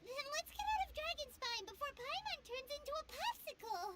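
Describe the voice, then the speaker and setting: A woman voicing a tiny, childlike, excitable fairy companion in a video game, with a cutesy, whiny delivery. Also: extremely high pitch, brisk, full character voice. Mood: cheerful. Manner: cutesy, speaks of self in third person. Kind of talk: scripted video game voice acting. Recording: loud game music behind the voice.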